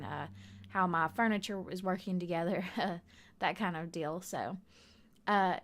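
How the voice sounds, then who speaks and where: Hoarse woman rambling over gameplay. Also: on the low side. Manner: rambling, many fillers, soft laughs. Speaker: gaming video creator. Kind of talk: casual voiceover over a speed build video. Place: bedroom at home.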